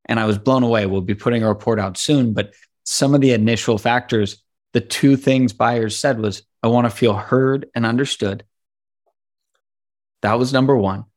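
A man's voice: medium pitch, fairly even intonation, normal speed. A man hosting a podcast interview, speaking thoughtfully with hesitant restarts and open enthusiasm. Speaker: podcast host.

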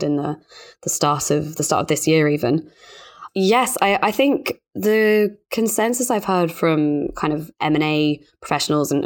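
Low-voiced, even-toned woman hosting a business podcast. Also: clean tone. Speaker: podcast host and financial journalist. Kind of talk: conversational podcast discussion of business news.

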